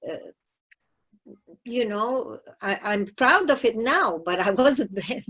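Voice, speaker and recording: An older woman from Iraq recalling her life in an unscripted discussion, animated, with hesitant phrasing. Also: on the high side, takes it slowly, breathy edge, muffled recording. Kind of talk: unscripted personal recollection in discussion.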